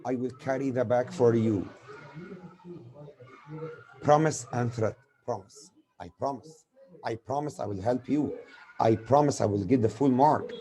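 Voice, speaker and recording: Mellow-voiced teacher. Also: male voice, mid-range voice, rough recording. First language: Arabic. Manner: explains slowly with repeated examples, mixing in Arabic words. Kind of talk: grammar lesson.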